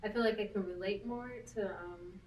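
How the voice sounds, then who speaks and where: Young woman, casual and reflective, mid pitch, recorded far off in an echoey room. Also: normal speed, a little mellow. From United States. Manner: thoughtful, casual, frequent um and like. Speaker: guest. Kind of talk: casual conversation. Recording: roomy, distant with faint noise.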